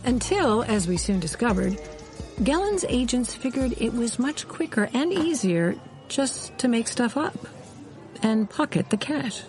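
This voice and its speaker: A woman's voice: fairly high pitch, sing-song, unhurried. An actress playing a composed, wry intelligence insider, narrating her memories in a scripted radio drama. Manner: storytelling, knowing, composed. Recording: music loud behind the voice. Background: loud music.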